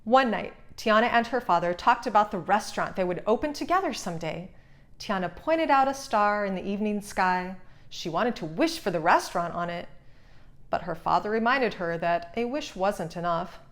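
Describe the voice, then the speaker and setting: A woman reads a children's storybook aloud. Her pitch sits in the middle for a woman, and she delivers scripted narration. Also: lively intonation, normal speed. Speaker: storybook reader.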